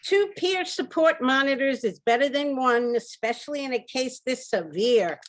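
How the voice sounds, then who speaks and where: A woman's voice: high-pitched, animated, moderate pace. A woman playing an officious, easily appalled senior center director in an old-time radio comedy.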